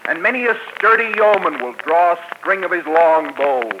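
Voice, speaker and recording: A gallant, good-humored outlaw leader, as an actor voices him in an old radio adventure, courtly in his speech. His voice sits a bit high. It comes through a noisy 1940s broadcast recording. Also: expressive, normal speed, phone-quality sound, lightly acted. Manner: courtly, archaic, laughing.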